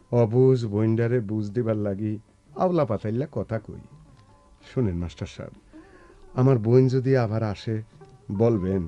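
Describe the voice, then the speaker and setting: An actor playing an excitable, doting older brother from a village, blustering and rambling in a rural eastern Bengali accent in a radio play. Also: male voice, fairly low pitch, highs cut off, lightly acted. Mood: subdued pleading. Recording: music behind, radio drama production.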